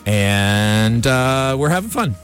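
A man's voice: mid-range voice, big pitch swings, takes it slowly, fairly bright tone. A man hosting a radio show in a casual, rambling, easygoing way. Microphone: studio radio microphone. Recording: music behind the voice. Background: music.

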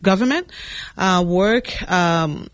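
A woman from the Democratic Republic of Congo whose first language is French, answering radio interview questions earnestly with many pauses and fillers. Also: fairly low pitch, no echo. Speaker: political candidate guest. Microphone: studio mic.

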